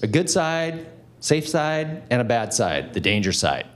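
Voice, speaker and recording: A man's voice: mid-range voice, measured pace. A man in his forties moderating a medical conference panel, speaking energetically into a handheld mic in a conference room. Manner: energetic, gestures while talking.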